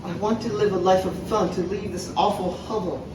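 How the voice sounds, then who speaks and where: A needy poor relation, played by a woman, speaks pantomime rhymes. The voice is distant and echoey, with clear noise around it. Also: mid-range voice, steady tone, a little fast, close to an everyday voice. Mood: plaintive. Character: fed-up, hungry, pleading poor relative of Scrooge. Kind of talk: pantomime stage acting.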